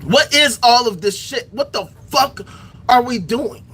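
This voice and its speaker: A man's voice: extremely high pitch, lively intonation, unhurried, bright, forceful tone, husky. A man giving an impassioned solo rant to his viewers, firing off repeated rhetorical questions with bursts of profanity. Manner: reads aloud from a reference text. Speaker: political commentary streamer.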